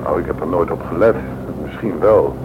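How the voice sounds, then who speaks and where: Airline crewman: courteous, down-to-earth, fairly low, muffled vintage radio drama. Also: brisk. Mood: polite, practical. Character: courteous, matter-of-fact male airline crewman. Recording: muffled old radio recording, engine noise.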